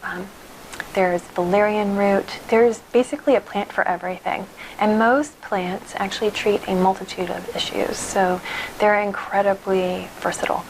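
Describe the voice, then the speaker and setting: A woman guest answers questions in a steady, informative way. Her voice sits at a middle pitch. A faint layer of background noise sits under her voice. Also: moderate pace, low-quality recording. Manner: informative, explanatory. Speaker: guest, intuitive healer. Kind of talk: interview answers.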